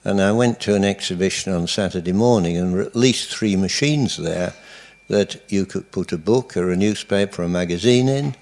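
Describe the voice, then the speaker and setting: A man in a lecture audience putting a question in a measured, deliberate manner. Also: sits low, darkish tone. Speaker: audience member asking a question.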